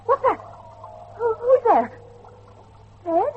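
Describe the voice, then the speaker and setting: A very high-pitched, frightened wife in a period horror drama. She pleads in slow, breathless, stammering bursts. The recording is dull with faint noise. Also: big pitch swings, exaggerated voice. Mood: fearful, bewildered. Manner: breathless, stammering, halting delivery. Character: frightened wife of a night watchman, pleading and panicky.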